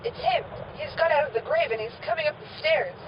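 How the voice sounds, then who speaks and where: A woman's voice: high voice, sing-song, brisk. An actress playing a terrified, pleading young woman in a melodramatic horror radio play, with panicky delivery. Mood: panicked. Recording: distant, muffled, very noisy recording.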